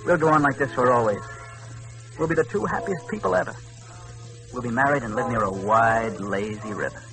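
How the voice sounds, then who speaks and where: A man's voice: mid-range voice, brisk, sharp tone, low-quality recording. An actor playing a haunted, lovestruck, obsessive man in melodramatic 1940s radio drama style. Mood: tender, ardent. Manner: intense, melodramatic, repeating himself. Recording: 1940s radio recording with music.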